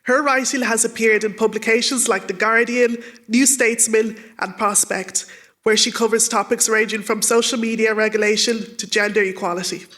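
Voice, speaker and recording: A woman's voice: on the high side, steady tone, normal speed, a bit bright. A young adult woman moderating a live book-festival talk, giving upbeat, polished introductions of the guests. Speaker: event moderator and broadcaster. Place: live event stage.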